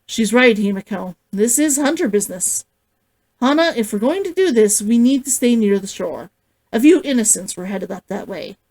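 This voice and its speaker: A woman's voice: fairly high pitch, animated, normal speed, darkish tone. A woman voicing a dutiful, practical monster hunter woman who speaks firmly and matter-of-factly in a story. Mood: businesslike.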